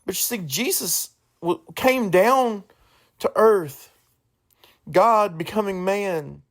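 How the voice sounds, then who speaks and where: A man hosting a solo podcast in an informal, earnest way, halting and correcting himself as he goes. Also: high voice, fairly bright tone. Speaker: podcast host.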